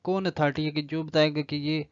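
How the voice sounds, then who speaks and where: A man's voice: fairly high pitch, fairly even intonation, brisk, clear, resonant tone, no echo. Pakistani man lecturing, slightly dull recording. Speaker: law lecturer. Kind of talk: explanatory lecture, reading statute aloud then explaining.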